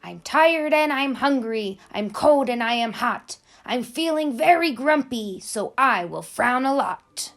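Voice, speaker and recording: A woman's voice: a little high, expressive, measured pace, few pauses, fairly bright tone, voice pushed up. A woman voicing a crabby, whiny parrot that mimics a grumpy pirate in a children's storybook. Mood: grumpy, whining.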